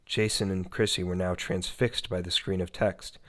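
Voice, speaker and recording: A man with a fairly low voice narrates a scripted horror story. He reads as a storyteller, moving through descriptive prose. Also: flat delivery, moderate pace.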